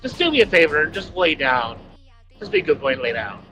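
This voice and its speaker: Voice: medium pitch, very animated, fast talker, bright, forceful tone, faint music behind, poor audio. A friend chatting casually over a call while driving, giggly and rambling. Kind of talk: casual chat. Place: in a car, driving.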